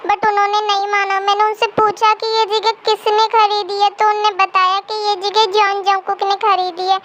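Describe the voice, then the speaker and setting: A woman's voice: very high voice, a bit bright, highs cut off, a little hiss or hum. A woman playing a distraught female orphanage caretaker in an amateur audio story.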